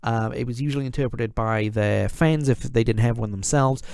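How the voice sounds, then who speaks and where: A man's voice: medium pitch, normal speed, creaky, a little mellow, no echo, studio quality. A man hosting a podcast, talking casually with many fillers, repeated words and wry asides. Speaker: podcast host. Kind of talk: casual solo podcast monologue.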